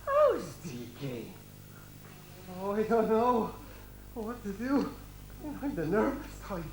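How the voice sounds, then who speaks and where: A man's voice: high-pitched, big pitch swings, takes it slowly, a little hiss or hum, full character voice. A nervous, cowardly pantomime servant played by a man, his voice swooping up and down as he stutters and exclaims. The echoey stage recording suits a broad, comic performance. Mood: frightened. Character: jittery, cowardly, food-loving royal servant called Windbag. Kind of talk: broad amateur pantomime acting. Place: hall stage.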